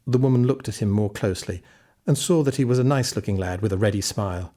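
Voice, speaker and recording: A man narrating a children's fairy tale in an expressive, scripted storytelling style. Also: on the low side, fast. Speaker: audiobook narrator.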